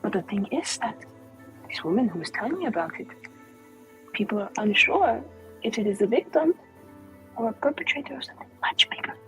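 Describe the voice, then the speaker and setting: A woman acts out a coy noblewoman trading lurid rumors in a fantasy game. Her delivery is theatrical and arch, with lively, swinging pitch and drawn-out pauses, over faint music. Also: poor audio. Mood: conspiratorial.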